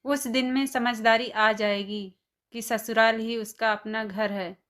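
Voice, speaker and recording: A kind, indulgent mother-in-law from an animated family story, acted by a woman. Her voice is slightly high and very slow, with a gentle, reassuring way of talking. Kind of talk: animated moral story dialogue.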